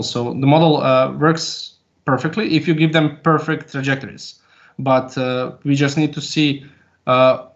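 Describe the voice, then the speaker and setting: A man answering academic questions as a doctoral candidate, explaining haltingly with long hesitations. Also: a little high. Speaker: PhD candidate defending a thesis. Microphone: video call. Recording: muffled online video call audio.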